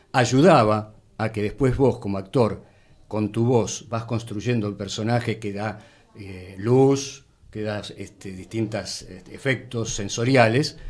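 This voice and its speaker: An Argentine man, a radio actor, explaining things didactically in conversation with short tag questions. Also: fairly low pitch.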